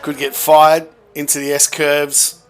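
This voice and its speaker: A man commentating a hot lap on an online racing broadcast, brisk and cheeky. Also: fairly high pitch, completely flat delivery, a little hiss or hum. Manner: brisk, cheeky and matter-of-fact.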